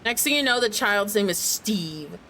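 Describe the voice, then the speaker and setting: Female streamer, lowish pitch with lots of movement, casual chatty gameplay commentary. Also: lively intonation, moderate pace, a little hiss or hum, low-quality recording. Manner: loud, chatty, rambling. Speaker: game streamer. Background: game music.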